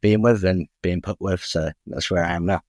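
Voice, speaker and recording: A man's voice: deep voice, steady tone, normal speed, darkish tone, dry recording, studio quality. A young man speaking as a guest boxer in a podcast interview, talking openly and frankly, often starting with an honest aside. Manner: open, frank, chatty, restarts with "I'll be honest".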